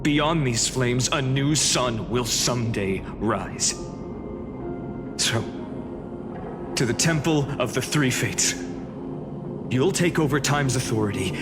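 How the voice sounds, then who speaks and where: An actor playing a resolute young hero in a game, earnest, solemn and deliberate. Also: male voice, mid-range voice, lightly acted. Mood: solemn hope. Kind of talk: dramatic video game acting.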